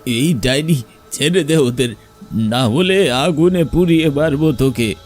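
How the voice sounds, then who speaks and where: A man voicing an elderly village grandfather in a horror cartoon, grave and protective, with husky, drawn-out dramatic warnings. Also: a little high, faint music behind, pitched above the performer's own voice, lightly acted. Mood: fierce.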